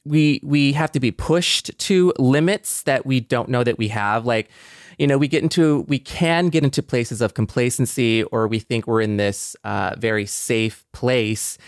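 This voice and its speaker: A man's voice: fairly low pitch, clear, resonant tone, no echo, studio quality. A man hosting a podcast, speaking in a conversational discussion style. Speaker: podcast host.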